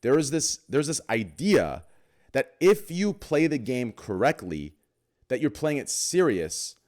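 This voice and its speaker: A man with a deep voice, hosting a casual podcast chat, talking in an excitable, heated way and swearing a lot. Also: a little slow. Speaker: podcast host.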